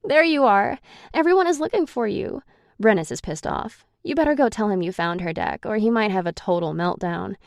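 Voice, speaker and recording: A woman's voice: medium pitch, lively intonation, normal speed, vocal fry, dry recording, clean studio sound, voice pushed up. A woman doing a brash, confident young friend. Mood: urgent.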